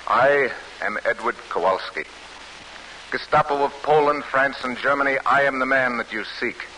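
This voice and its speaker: A man's voice: mid-range voice, normal speed, pushed and bright, a little hiss or hum, close to an everyday voice, low-quality recording. A man playing a weary, earnest and determined young refugee in a 1940s American radio drama. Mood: defiant. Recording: old radio recording, faint music.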